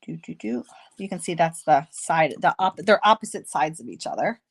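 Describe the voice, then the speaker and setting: A woman hosting a casual card-making tutorial, chatty and self-deprecating, slightly hoarse with a stuffy nose. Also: low voice, animated, normal speed.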